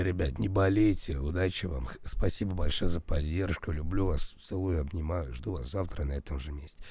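A man's voice: low-pitched, vocal fry, darkish tone, dry recording. A man with a cold streaming live, berating his chat and the ads with crude swearing, then mumbling his thanks and goodbyes. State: has a cold.

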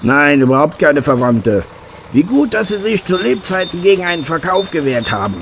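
An actor playing a matter-of-fact boss of a logging crew in an audio drama. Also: male voice, a little high, very animated, moderate pace, fairly bright tone, a little hiss or hum. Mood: businesslike.

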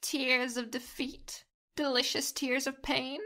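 Sly female gamer played by a woman, high and bright, cocky, theatrical relish, for audio fiction. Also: little pitch movement, slow, full character voice. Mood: teasing, gleeful. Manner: theatrical, relishing her words. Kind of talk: fan fiction podcast acting.

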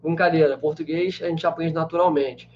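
A young man from Brazil with a fairly high voice answers viewer questions in a loose, rambling way, full of fillers. He talks to a webcam in a small room, and the sound comes through a little dull and lo-fi. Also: fairly even intonation, fairly quick, a little mellow, a little hiss or hum. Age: 20s. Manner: chatty, many fillers like "né" and "cara". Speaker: language teacher answering live chat. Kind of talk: casual live-stream Q&A. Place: small room indoors with a banner behind.

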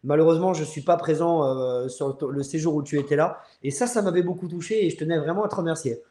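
A man in his thirties hosting a casual live chat over a video call, speaking earnestly and haltingly. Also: fairly high pitch, warm tone. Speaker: live show host. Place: room at home. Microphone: earphone mic on video call. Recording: roomy, echoing video-call audio.

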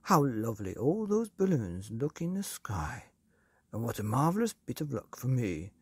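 A woman's voice: a bass voice, very animated, moderate pace, slightly rough, no room sound, full character voice. A woman voicing a nasty scheming old husband who speaks in a sly, falsely sweet way in a storybook reading. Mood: gloating.

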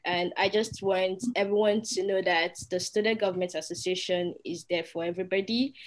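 Earnest young woman, lowish voice. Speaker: graduate student senator. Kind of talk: speaking to an online meeting.

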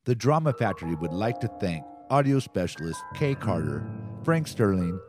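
Lowish-voiced man announcing over music. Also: big pitch swings, moderate pace. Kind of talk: scripted radio credits narration.

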